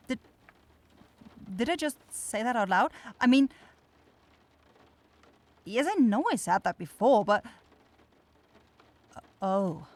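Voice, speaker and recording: A woman's voice: medium pitch, darkish tone. A woman voicing a caring young forest witch in a fantasy ASMR roleplay, nervous, rambling and apologetic.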